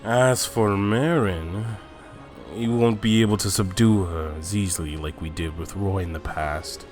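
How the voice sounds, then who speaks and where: A man performs a powerful anime fighter with a low voice and a smug, amused calm. He schemes aloud slowly and deliberately, with faint music underneath. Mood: relaxed. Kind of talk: anime fan-drama acting.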